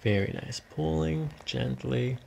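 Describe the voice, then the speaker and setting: A middle-aged man working as a chiropractor, calmly guiding a patient in short, reassuring phrases in an ASMR style. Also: mid-range voice, unhurried, creaky, very noisy. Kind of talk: calm hands-on ASMR treatment talk, instructing a patient. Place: small carpeted treatment office, indoors. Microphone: microphones on boom stands near the table.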